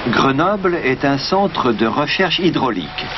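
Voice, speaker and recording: A man narrating a documentary in an even, informative reading voice. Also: mid-range voice, a little slow. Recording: hissy, muffled documentary soundtrack.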